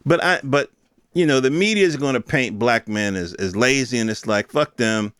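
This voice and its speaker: A man's voice: medium pitch, normal speed, fairly bright tone, clear, resonant tone, no room sound, studio quality. An American man in his fifties giving a casual, opinionated solo monologue in emphatic, slangy, conversational speech. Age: 50s. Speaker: podcast host.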